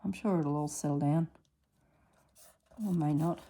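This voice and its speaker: A woman with a slightly husky voice narrating a casual craft tutorial at her table, thinking aloud as she works. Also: sits low, fairly even intonation, background noise. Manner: unhurried, thinks aloud while working. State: recovering from illness, slightly husky throat. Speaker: craft vlogger.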